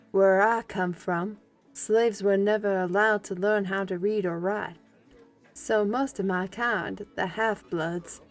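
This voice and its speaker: Fantasy audio drama: genteel, educated half-blood beast woman, female actor, formal and measured, mid-pitched, over music. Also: lightly acted. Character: learned half-blood animal woman, former slave, genteel and gracious. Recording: background music under the voice.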